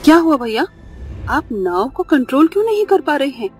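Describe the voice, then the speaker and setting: A woman's voice: high-pitched, very animated, normal speed, a little mellow. A young cartoon mother talks in a plain, middle-range voice. She sounds anxious and careful, and there is light music behind her. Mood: alarmed. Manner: anxious, pleading. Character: worried young mother on a beach trip.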